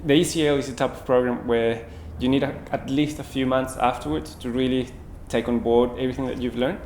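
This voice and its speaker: A man's voice: medium pitch, steady tone, a little fast, darkish tone. A man from Chile, talking easily about his art practice in an interview. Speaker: program graduate, painter and art teacher. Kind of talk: interview testimonial. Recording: echoey room with faint hiss.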